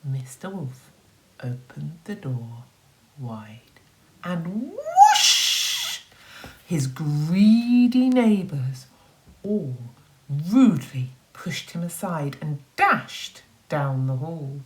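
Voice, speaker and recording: A woman with a low voice tells a children's story like a primary teacher. She is animated and dramatic in her emphasis, takes her time, and pauses to put questions to the listeners.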